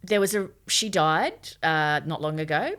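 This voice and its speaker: Woman, middle-aged, low-pitched voice, podcast co-host in serious conversation. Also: normal speed, a bit bright.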